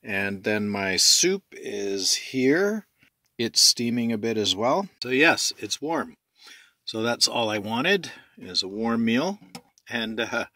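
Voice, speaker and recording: A man chatting casually as he films his evening routine in a small sailboat cabin, relaxed, with restarts and friendly "eh?" tags. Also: mid-range voice, dry recording. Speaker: sailboat designer and vlogger. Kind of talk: casual how-to vlog narration.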